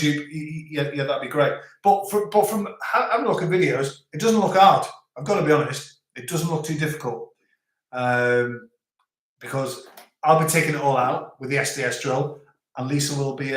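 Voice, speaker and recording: Chatty man with softened Scouse accent. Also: medium pitch. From Liverpool, England. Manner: chatty, rambling, stops and restarts. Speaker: live stream host. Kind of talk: casual live chat reading out viewer comments. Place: home in the French countryside. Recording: roomy echo.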